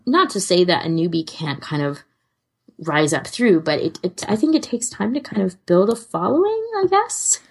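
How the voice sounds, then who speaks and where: A woman podcast co-host with a husky voice, chatting casually in an informal, enthusiastic, hedging way. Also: lowish voice, sing-song, normal speed. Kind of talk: casual chat about fan fiction audio readings.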